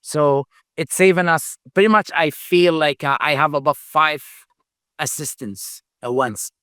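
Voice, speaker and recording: Mężczyzna w średnim wieku, angielski nie jest jego pierwszym językiem, odpowiada z wahaniem, suche nagranie. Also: male voice, fairly high pitch, a bit bright, clear, resonant tone, dry recording, clean studio sound. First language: not English. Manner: halting, repeats words, many uh fillers. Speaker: founder and CEO, podcast guest. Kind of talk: casual interview answers.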